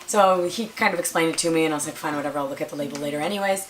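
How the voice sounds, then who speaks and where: A young woman with a raspy voice, chatting casually to camera as a vlogger and filling her stories with "like". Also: low-pitched, very fast, faint music behind. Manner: chatty, lots of "like" and quoted dialogue.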